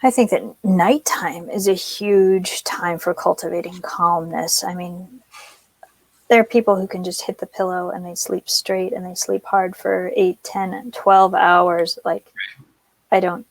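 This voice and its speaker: Gravelly-voiced chatty woman, dry sound. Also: lowish voice, steady tone, a little slow, no echo, low-quality recording. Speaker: co-host. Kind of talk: casual conversation. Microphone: video call.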